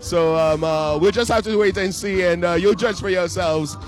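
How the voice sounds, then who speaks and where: Casting note: a higher-voiced man answering as an event judge, halting and full of fillers, jokey, with faint music behind. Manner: halting, many fillers, playful. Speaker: pageant judge interviewed at an event. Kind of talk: joking on-the-spot interview. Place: live evening event. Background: music playing.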